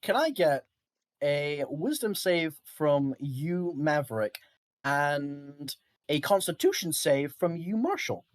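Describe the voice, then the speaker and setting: A game master narrating an improvised tabletop game, sometimes halting to search for words. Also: medium pitch, animated, dry recording.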